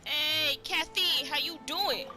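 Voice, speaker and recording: A woman's voice: high voice, slow pace, sharp tone. A woman gamer giving casual, playful, chatty commentary while streaming. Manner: playful, chatty, repeats herself. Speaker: video game streamer. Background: game music.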